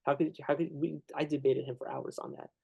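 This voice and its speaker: A man's voice: fairly high pitch, fairly even intonation, vocal fry, darkish tone, breathy edge. A young man, the interviewer, debating casually with frequent restarts and fillers. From Ukraine. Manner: halting, many restarts and fillers.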